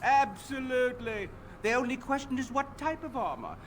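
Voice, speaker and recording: Haughty master armorer, high voice, very animated, fussy, dramatic, fantasy video game. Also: male voice, normal speed, slight background noise. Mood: excited, proud.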